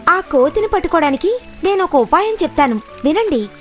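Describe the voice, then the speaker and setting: A crafty animal schemer in a children's cartoon. The voice is high and persuasive, with music playing underneath. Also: female voice, very animated, fairly quick. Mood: conspiratorial. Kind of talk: children's cartoon story acting.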